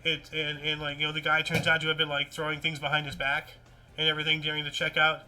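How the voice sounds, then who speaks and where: Bright, high-pitched man hosting offbeat news chat. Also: quick pace, faint music behind, rough recording. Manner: rambling, repetitive, animated. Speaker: host of a weird-news talk show. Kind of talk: casual news chat mixed with reading articles aloud.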